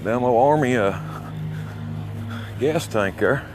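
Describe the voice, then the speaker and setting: A man's voice: medium pitch, takes it slowly. Folksy Alabama man rambling outdoors, noisy background. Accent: Alabama Southern American. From Alabama, United States. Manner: folksy, boastful, coarse. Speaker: amateur vlogger. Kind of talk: rambling walk-along commentary. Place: outdoors, walking along small-town streets. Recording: noisy outdoor recording with traffic sounds. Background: outdoor ambience, passing vehicles.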